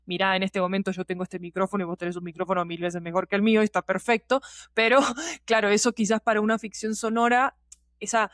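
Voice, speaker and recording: A woman's voice: medium pitch, little pitch movement, quick pace, fairly bright tone, no room sound, clean studio sound. A woman with a Latin American Spanish accent that uses vos, talking casually as a podcast guest and checking in with her listener. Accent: Latin American Spanish with voseo. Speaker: guest, podcast fiction producer.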